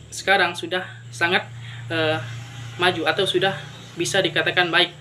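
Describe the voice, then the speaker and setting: Young man, video host, flat intonation, hesitant delivery, faint noise behind. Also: on the high side. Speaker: interviewer and host. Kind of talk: informal presentation to camera, summing up.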